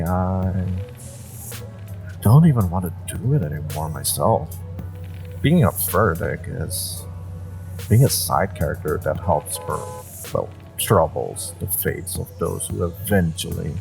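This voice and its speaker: A low, slow-talking jaded driver with a secret past, acted by a man in an audio roleplay. He is wry and world-weary, speaking in hesitant, offhand bits over clearly audible music. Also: a little mellow, full character voice, poor audio. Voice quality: low, gravelly. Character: jaded, evasive male Omega driver, secretly a former judge. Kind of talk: intimate conversational audio roleplay acting. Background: music and background ambience.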